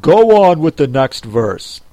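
A bossy, impatient storybook Gryphon, as a reader puts it on barks short, brisk orders. Its voice sits slightly raised and louder than the narration. The sound is dry and close. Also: mid-range voice, big pitch swings, dry recording. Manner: brisk and commanding.

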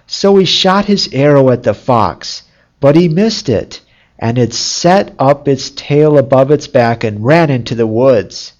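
A storyteller reading a fairy tale as scripted narration in a measured, deliberate way. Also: medium pitch, expressive, darkish tone, muffled recording.

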